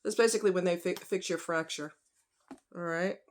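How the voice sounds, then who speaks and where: A woman's voice: lowish voice, brisk. A woman vlogger talking casually and directly to her viewers in a folksy, conversational, emphatic way.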